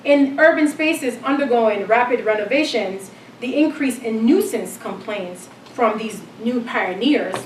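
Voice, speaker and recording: A middle-aged woman from Jamaica giving an academic lecture, reading in a measured way with frequent ums. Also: on the high side, animated, reverberant, recorded from a distance, a little hiss or hum, low-quality recording. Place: lecture hall.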